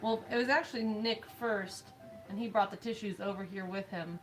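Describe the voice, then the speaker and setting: A woman's voice: mid-range voice, normal speed, rough recording. A woman in her thirties with a snuffly nose, chatting in a dry, teasing way. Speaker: co-host reading chat. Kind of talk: casual chat. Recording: stream with faint background music. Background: faint background music.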